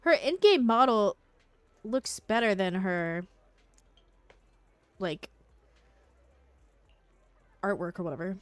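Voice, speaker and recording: A woman's voice: mid-range voice, animated, slow, pauses often, clean tone, no room sound. A woman streaming a game, giving casual, slangy live commentary and reacting aloud as she plays.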